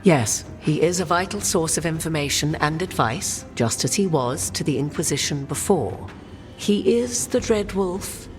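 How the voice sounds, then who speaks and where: Low-voiced, grave sorceress, in a reverberant hall. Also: female voice, expressive. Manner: measured, formal, dramatic. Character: grave, knowing sorceress advisor. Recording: long hall reverb under clear music.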